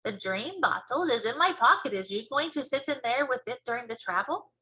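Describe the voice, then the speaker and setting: A woman's voice: on the high side, animated, a little fast, sharp tone. A woman voicing a huge, kindly but blustery male giant in a children's storybook, with quaint broken grammar and muddled invented words. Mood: eager.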